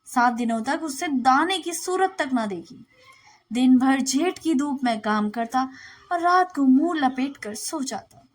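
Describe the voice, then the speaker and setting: A woman reading a literary story aloud as a storyteller, in an expressive, deliberate, dramatic narrating style. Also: high-pitched.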